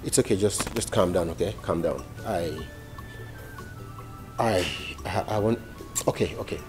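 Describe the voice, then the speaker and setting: A man with a Nigerian accent playing a caring older brother who calms his sister down on the phone, repeating himself gently. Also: low voice, a little slow, soft background music. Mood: soothing. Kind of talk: Nollywood drama acting.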